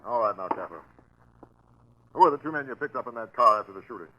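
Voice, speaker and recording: A man's voice: fairly low pitch, expressive, fast, bright, forceful tone, poor audio. An American man playing a stern, relentless police captain who badgers in terse bursts, in a 1940s radio crime drama. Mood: badgering, stern. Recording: vintage 1940s radio broadcast.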